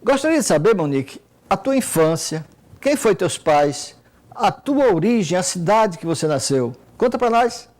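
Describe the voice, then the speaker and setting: Male TV interview host, high voice, courteous and formal, studio setting. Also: sing-song, normal speed. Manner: courteous, formal introduction and gentle questions. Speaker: TV program host.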